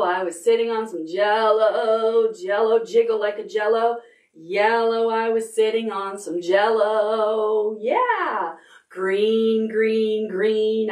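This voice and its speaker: A middle-aged woman hosting a children's storytime, reading aloud in simple, expressive language for toddlers. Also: mid-range voice. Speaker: library storytime host. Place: indoor room, recorded on video.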